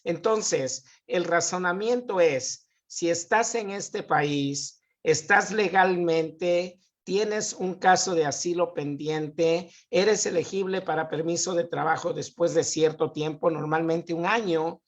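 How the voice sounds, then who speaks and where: A man's voice: fairly high pitch, takes it slowly, hardly any pauses. A man, a guest expert on immigration, methodically explaining legal questions on a live show.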